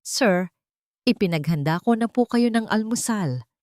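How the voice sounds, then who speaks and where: A woman voicing a gentle, devout housemaid and nanny in an audio drama, speaking softly and respectfully. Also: mid-range voice, expressive, unhurried, dry recording, clean studio sound, pitched above the performer's own voice, close to an everyday voice. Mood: polite. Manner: soft, respectful, tender.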